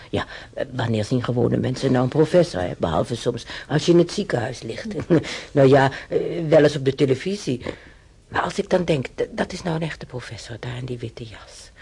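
A woman's voice: very deep voice, gravelly, a little mellow, husky, exaggerated voice. An actress playing a chatty, wistful hospital patient in her seventies in a radio drama, rambling and homely as she reminisces. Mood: musing, wistful. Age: 70s. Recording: noisy old radio play recording.

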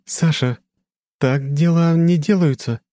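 Man voicing an anxious empress, pitched high. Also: slow pace, mellow. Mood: flustered. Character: empress, adult woman, slightly anxious and flustered. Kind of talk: audiobook character dialogue.

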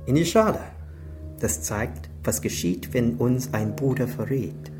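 An actor playing a calm, menacing Chinese triad boss, deliberate and solemn, in a radio crime drama. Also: male voice, mid-range voice, music in the background, close to an everyday voice. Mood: solemn, commanding.

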